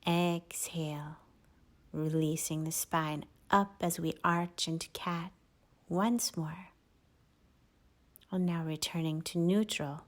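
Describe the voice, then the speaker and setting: Woman yoga teacher, gentle near-whispered guidance, unhurried delivery. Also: low voice, steady tone. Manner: soft, gentle instructions, often near a whisper. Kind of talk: guided yoga class cues.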